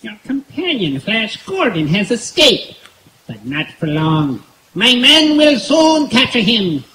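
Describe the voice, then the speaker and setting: A man's voice: high voice, very animated, slow pace, full character voice. A man playing a cruel, pompous alien emperor in a live old-time radio serial, commanding and coldly gloating. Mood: coldly menacing. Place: outdoor woodland stage at night. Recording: roomy outdoor live stage, noisy.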